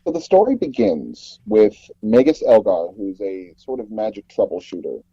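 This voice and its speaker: A man with a low, mellow and husky voice talks as an interview guest. He tells stories casually, with hesitant pauses along the way. The recording is dull and muffled. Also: very animated, no echo. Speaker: interview guest, audio drama producer.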